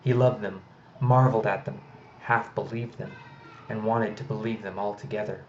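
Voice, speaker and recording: A man's voice: on the low side, level tone, moderate pace. A man reading a children's storybook aloud as a narrator. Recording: slightly noisy, dull home recording.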